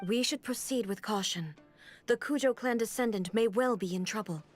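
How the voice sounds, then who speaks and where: A woman's voice: medium pitch, lightly acted. Woman acting a solemn, regal warrior ruler. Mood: wary, commanding. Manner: formal, measured, commanding. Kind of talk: anime video game dub acting. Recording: game music underneath.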